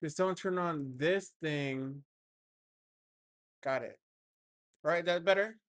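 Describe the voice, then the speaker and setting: A man's voice: fairly high pitch, takes it slowly, no echo, clean studio sound. Hesitant young man fumbling with stream setup. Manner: hesitant, rambling, full of fillers and restarts. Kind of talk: casual chat while setting up a stream.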